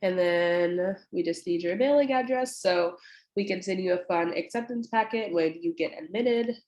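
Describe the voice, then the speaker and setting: A woman's voice: fairly low pitch, moderate pace, a little mellow, muffled recording. Stuffy-nosed young woman, echoey room. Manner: casual and friendly, many fillers. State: stuffy, congested. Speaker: admissions representative. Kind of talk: walking through an online application on a screen share. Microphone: computer mic on a video call.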